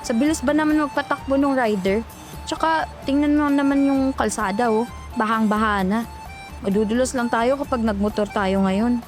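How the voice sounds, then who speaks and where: A woman's voice: a little high, normal speed, faint music behind, natural voice. A woman acting as an exhausted young call center agent, grumbling and cautious, in a horror story. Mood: worried, wry. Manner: weary, chatty, grumbling.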